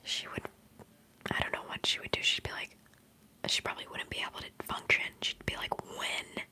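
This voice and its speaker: A woman in her twenties whispering through a relaxed livestream chat, rambling and giggling between thoughts as an ASMR streamer. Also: a little fast. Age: 20s. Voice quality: soft whisper.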